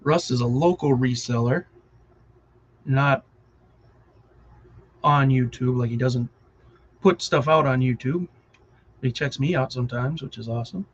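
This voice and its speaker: A man's voice: mid-range voice, measured pace, pauses often, dull audio, a little hiss or hum. A middle-aged man with a Wisconsin accent, chatting casually and rambling on a live stream, often distracted and chuckling.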